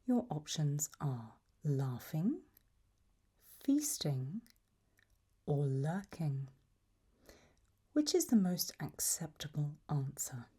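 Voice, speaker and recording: A woman doing a whispered ASMR roleplay as an examiner, hushed and deliberate, pausing between short phrases. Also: low-pitched, very animated, slightly rough. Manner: slow, deliberate, softly instructive with long pauses between options.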